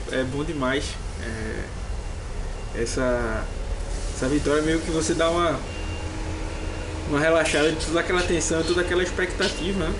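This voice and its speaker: A Brazilian man chats casually as a podcast co-host, stopping and restarting his thoughts as he goes. His voice sits a little higher than most men's, and steady background noise runs under the recording. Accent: Brazilian Portuguese. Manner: hesitant, many restarts and fillers.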